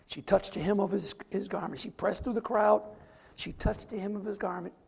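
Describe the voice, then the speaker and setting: Raspy-voiced preaching deacon, gravelly and high. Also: male voice, steady tone, moderate pace. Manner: recites scripture from memory with rising emphasis. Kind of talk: sermon.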